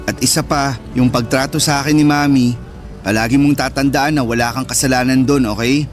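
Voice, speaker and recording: A man's voice: medium pitch, steady tone, a little fast, close to an everyday voice. A man with a Filipino accent playing a sensitive, neglected older brother in an earnest, emotive radio drama style. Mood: apologetic, reassuring. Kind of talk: Tagalog radio drama acting. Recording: music under the voice.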